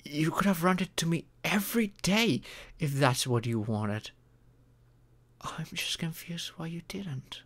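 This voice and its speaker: A performer voicing a caring, earnest young romantic partner in an intimate ASMR roleplay, with deliberate pauses. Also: medium pitch, a bit bright. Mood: hurt, tender.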